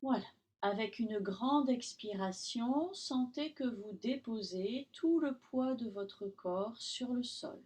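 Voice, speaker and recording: Slow-speaking woman guiding yoga relaxation. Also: fairly high pitch. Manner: calm, instructional, with long pauses between short phrases. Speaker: yoga teacher. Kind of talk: guided Yoga Nidra relaxation.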